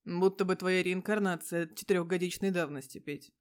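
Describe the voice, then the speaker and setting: A woman's voice: fairly low pitch, fairly even intonation, moderate pace, smooth, lightly acted. A woman voicing a self-assured male police detective in an audio story, teasing and insistent in slangy speech. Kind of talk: audio story character dialogue.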